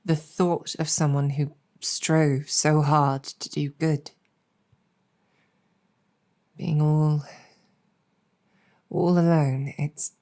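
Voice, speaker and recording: A woman voicing an earnest, protective succubus demon general in an intimate audio roleplay, speaking deliberately with halting pauses. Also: low-pitched, flat delivery, darkish tone, exaggerated voice. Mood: earnest, upset. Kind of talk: intimate improvised roleplay storytelling, ASMR-adjacent.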